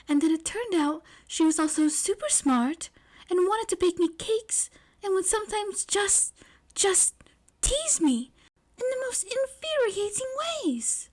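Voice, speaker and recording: A woman's voice: extremely high pitch, very animated, unhurried, darkish tone, pitched above the performer's own voice, full character voice. A woman voicing a shy, flustered teenage girl in love, with hesitant delivery, as in an audiobook. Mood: flustered, exasperated. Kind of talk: audiobook character dialogue.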